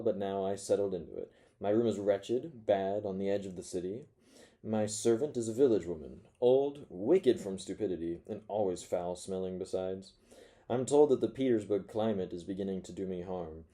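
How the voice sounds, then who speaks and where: A spiteful, self-mocking forty-year-old man rants from his corner, voiced by a male reader. His voice sits low and dark, with a mellow softness under the bitterness. He swings between sour mutters and sudden loud sardonic bursts. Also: lightly acted. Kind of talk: first-person audiobook reading.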